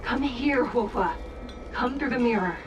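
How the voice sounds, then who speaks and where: An actress playing a stern, composed female judge in an anime-style game, formal and measured in delivery. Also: fairly high pitch, muffled recording, loud music behind the voice, lightly acted. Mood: coaxing, commanding.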